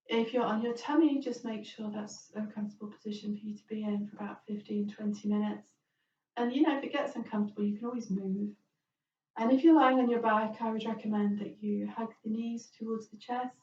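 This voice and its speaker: A woman leads a guided relaxation as a yoga teacher, speaking in a gentle, reassuring way at a medium pitch. She invites the listener to choose whatever suits them. The recording is roomy and somewhat distant from the microphone, with a dull tone. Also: slight background noise.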